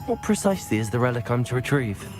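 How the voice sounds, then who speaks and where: An actor playing a polite, composed young wizard adventurer in a video game, speaking articulately. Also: male voice, medium pitch, big pitch swings, quick pace, music in the background, natural voice. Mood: polite, curious. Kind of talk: video game cutscene acting.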